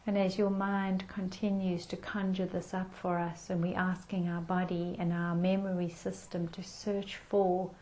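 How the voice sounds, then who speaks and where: A woman leading a guided meditation in a gentle, soothing manner with unhurried pauses. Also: lowish voice, poor audio.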